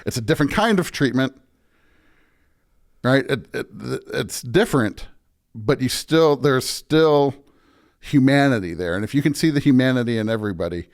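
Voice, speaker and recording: A man's voice: mid-range voice, animated, normal speed. A man talking as a pastor in an interview, earnest and emphatic, often building his points through repeated phrases. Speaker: pastor, podcast guest. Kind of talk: conversational interview talk with preacherly stretches.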